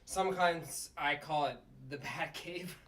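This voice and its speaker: A man plays a gruff puppet district attorney in a sitcom table read. He is bossy and blustering, repeats himself as his temper rises, and is heard at a distance from the mic. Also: on the high side, measured pace, fairly bright tone. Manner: blustering, stumbles and repeats words.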